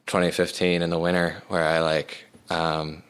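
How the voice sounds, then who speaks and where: A young man and band singer with a low resonant voice, chatting casually in an interview and rambling with lots of filler words. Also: flat delivery, normal speed, fairly bright tone, clean tone.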